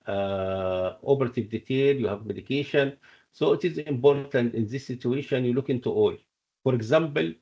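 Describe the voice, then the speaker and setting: Male medical tutor on a dull online call. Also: mid-range voice. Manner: repeats points, checks understanding often. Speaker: medical lecturer and exam coach. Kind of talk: interactive online teaching with questions and explanations.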